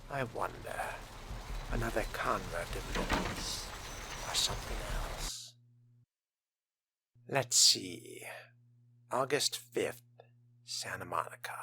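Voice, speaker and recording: A man roleplaying a polite, brooding young fledgling vampire in a video game, measured, formal and musing. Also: fairly low pitch, creaky, sharp tone, a little hiss or hum, full character voice. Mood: pensive.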